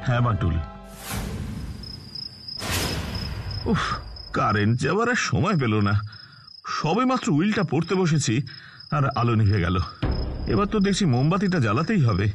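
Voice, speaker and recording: Music plays clearly behind a fretful middle-aged gentleman from a cartoon. His voice sits fairly high and swings widely. He is theatrical, exclaiming and repeating himself as he frets. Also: big pitch swings, fairly quick. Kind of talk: cartoon voice acting.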